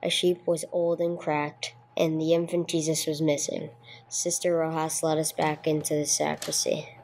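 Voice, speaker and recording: A woman's voice: deep voice, little pitch movement, normal speed, a little mellow. A woman playing a no-nonsense police sergeant who narrates a case in a radio play, clipped and matter-of-fact. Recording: clear background noise, room ambience.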